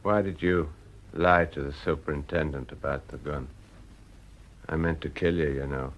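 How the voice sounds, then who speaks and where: An actor playing a defeated, remorseful industrialist in an audio drama, emotionally drained. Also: male voice, extremely low pitch, fairly even intonation, moderate pace. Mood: drained, confessional.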